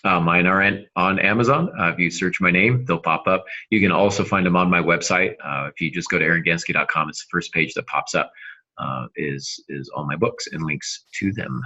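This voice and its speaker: A man co-hosting a casual podcast discussion, speaking in a halting way with self-deprecating humor, on slightly dull audio. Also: low-pitched, pushed and bright. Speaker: podcast co-host and novelist.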